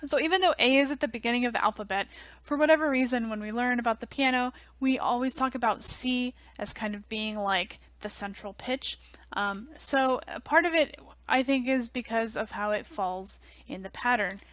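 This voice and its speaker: A young woman teaching music theory in a relaxed, chatty way, full of ums and likes, on a headset mic in a small office. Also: a little high, normal speed, dry recording. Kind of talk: casual online lecture.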